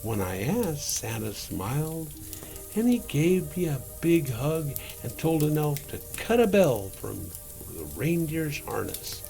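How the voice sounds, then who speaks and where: A man reading a children's story aloud in a theatrical, drawn-out way, as a Santa performer. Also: on the high side, very animated, creaky, mellow. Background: music.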